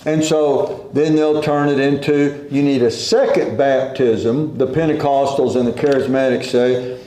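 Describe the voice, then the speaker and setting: Slow, mellow-voiced preacher man. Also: mid-range voice, fairly even intonation, reverberant, low-quality recording. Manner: unhurried, folksy preaching with scripture quotes. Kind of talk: sermon.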